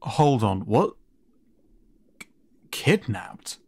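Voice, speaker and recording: A man playing a gentle, flustered siren in an audio roleplay, speaking haltingly and stammering with concern, often dropping to a breathy near-whisper. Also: fairly low pitch, big pitch swings, dry recording, heavily acted. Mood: worried, baffled. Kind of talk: audio roleplay addressed to the listener.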